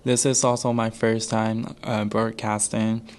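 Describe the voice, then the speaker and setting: A young man, an international student, telling a story on student radio with many pauses and hesitations. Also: lowish voice, level tone. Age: young adult. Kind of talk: casual student radio chat.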